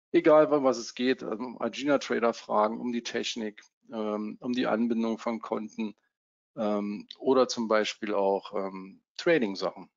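A man's voice: lowish voice, gravelly. Casual middle-aged man on lo-fi webinar. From Germany (Berlin). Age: 50s. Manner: casual, friendly, many fillers. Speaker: trading software coach. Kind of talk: live webinar presentation. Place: home office.

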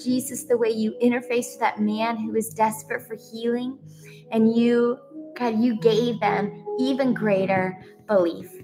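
A woman's voice: on the high side, low-quality recording. A woman praying aloud in an earnest, pleading way, off the cuff, with repeated phrasing. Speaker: co-leader praying. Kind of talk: extemporaneous prayer.

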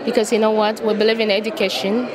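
Woman, 40s, earnest charity founder, mid pitch, noisy event. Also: flat delivery, fast. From Nigeria. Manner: earnest, proud, repeats key phrases. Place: crowded indoor event hall. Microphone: handheld mic held by interviewer. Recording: crowd chatter behind.

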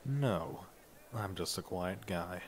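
Man acting a quiet, deep-voiced young goth. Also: lively intonation, slow, a little hiss or hum. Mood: shy, reluctant. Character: quiet, reluctant young male goth band singer. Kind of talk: ASMR roleplay, casual chat.

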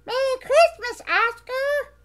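A woman's voice: extremely high pitch, takes it slowly, fairly bright tone, pitched above the performer's own voice, heavily acted. A woman voicing a cheerful little monster boy who talks about himself in the third person, from a storybook reading. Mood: excited.

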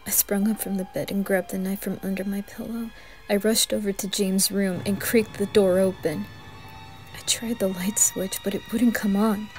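A woman narrating a scripted horror story in the first person, theatrical and deliberate, turning breathy when hushed. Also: mid-range voice, little pitch movement, low-quality recording. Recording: music behind the voice. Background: music.

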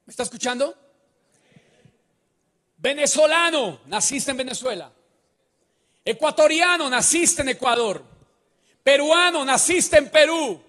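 A man's voice: very high voice, expressive, a little slow, pauses often, pushed and bright. A man preaching a sermon on a large church stage into a handheld mic. He speaks emphatically and keeps putting questions to the congregation. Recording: reverberant church hall.

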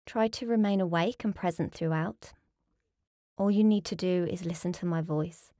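A woman leading a guided relaxation as a yoga nidra teacher, speaking in a calm, soothing, measured way with pauses. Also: lowish voice, no echo, studio quality.

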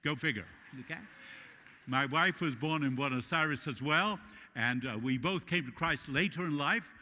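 A middle-aged man from Uruguay with a Spanish accent, preaching an animated sermon with jokes as guest speaker in a large church. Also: a little high, normal speed. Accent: Latin American Spanish. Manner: animated, gesturing widely while walking the stage. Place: large church sanctuary stage. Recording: long hall reverb.

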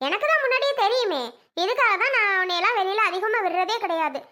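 Lady doing a nagging suspicious mom, repeats herself, high sharp voice, family drama. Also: fast talker. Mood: accusing. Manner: nagging, accusing, repetitive. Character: overprotective, suspicious, nagging mother.

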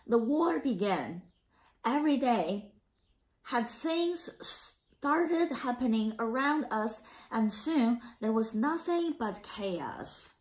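A woman reading a children's picture book aloud in a careful, slightly halting way. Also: a little high, very animated, rough recording. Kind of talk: read-aloud storytelling.

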